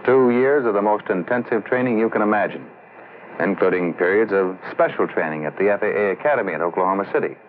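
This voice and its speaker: A man's voice: fairly low pitch, expressive, fast talker, natural voice. A man playing a seasoned air traffic controller, explaining his job matter-of-factly in a 1960s educational film. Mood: assured, explanatory. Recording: muffled old film soundtrack, faint hiss.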